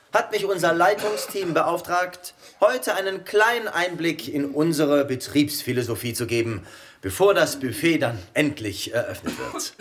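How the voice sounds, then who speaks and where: A man's voice: on the high side, close to an everyday voice. An actor playing a pompous new male company boss in a radio drama, giving a condescending speech to his staff.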